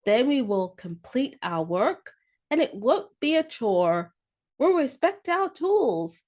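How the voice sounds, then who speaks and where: A woman's voice: high-pitched, big pitch swings, slow, a little mellow, full character voice. A woman voicing an earnest, apologetic schoolboy pleading in a children's storybook.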